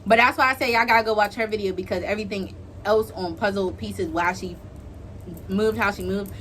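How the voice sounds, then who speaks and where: A woman's voice: mid-range voice, brisk, a bit bright, a little hiss or hum. A young adult woman telling a casual, gossipy story in informal slang, acting out what people said. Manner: informal, slangy, gossipy retelling with acted-out quotes. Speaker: online video creator telling a story.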